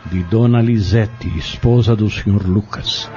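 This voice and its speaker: A man acting a composed, persuasive, scheming trader in an audio drama, speaking formally and politely with measured phrasing. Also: lowish voice, fairly even intonation, mellow, lightly acted. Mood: shrewd, persuasive. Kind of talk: dramatic radio-novela acting. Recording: muffled lo-fi audio drama recording. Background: prominent background music.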